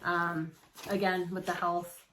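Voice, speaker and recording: A woman's voice: on the low side, little pitch movement, slow pace, sharp tone, poor audio. A woman in her late thirties co-hosting a casual chat, speaking informally with plenty of "like" and "you know". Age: late 30s. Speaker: co-host of a witchy chat channel. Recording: faint background noise.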